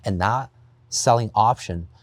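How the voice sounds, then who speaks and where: A man's voice: on the low side, measured pace, no room sound. A man who grew up in Taiwan, a podcast guest earnestly explaining his investing approach, often adding "you know" and "right?". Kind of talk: podcast interview.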